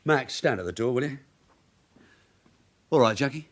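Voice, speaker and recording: An actor playing a shrewd veteran police sergeant, brisk and commanding, in a radio crime drama. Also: male voice, medium pitch, expressive, close to an everyday voice. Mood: cool, pressing.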